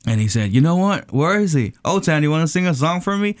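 A man's voice: fairly high pitch, big pitch swings, fast talker, no echo. A man from the Philippines with a Filipino accent, a native Tagalog speaker, chatting casually as a singer-songwriter guest in a radio studio. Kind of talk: casual conversational storytelling. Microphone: close studio microphone.

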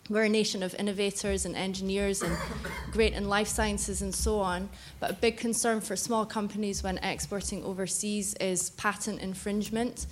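A woman's voice: medium pitch, level tone, normal speed, a little hiss or hum. Hesitant businesswoman. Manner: hesitant, with many fillers. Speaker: business owner asking a question. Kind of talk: audience question. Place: conference hall.

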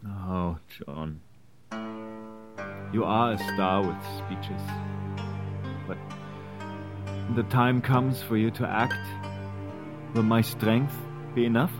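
A man acting as a weary, doubtful but loyal immigrant conspirator in an 1860s period drama, speaking earnestly and deliberately. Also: lowish voice, fairly even intonation, music in the background. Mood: resigned, wary. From Prussia (character).

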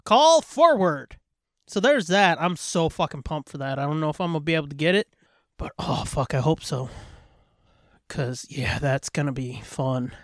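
A man co-hosting a podcast, chatting casually about pop culture in an animated, profanity-laced fan style. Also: a little high, moderate pace, no echo, studio quality. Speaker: podcast co-host.

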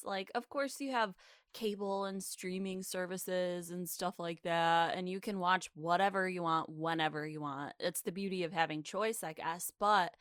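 A woman co-hosting a podcast, chatting informally and reacting in an expressive, emphatic way. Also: on the low side, no echo, studio quality. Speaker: podcast co-host. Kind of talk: casual reactive chat.

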